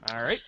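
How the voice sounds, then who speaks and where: Jovial guy hosting a podcast, theatrical, swingy pitch, dry but kinda dull recording. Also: vocal fry, sharp tone, a little hiss or hum. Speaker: podcast host and narrator. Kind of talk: casual hosting and read-aloud narration.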